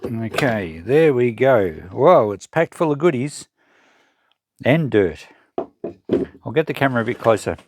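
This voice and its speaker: An Australian man giving casual hands-on commentary, thinking aloud and explaining things in an unhurried way as he works. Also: medium pitch, expressive, noisy background. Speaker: presenter of a vintage electronics salvage channel.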